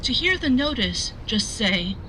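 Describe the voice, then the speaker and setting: Chirpy ai assistant, sharp bright high voice, scripted announcements, bit of car noise. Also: female voice, sing-song, unhurried, heavily acted, rough recording. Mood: bright, automated. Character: chirpy female voice-assistant machine giving reminders. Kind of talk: sci-fi audio drama acting.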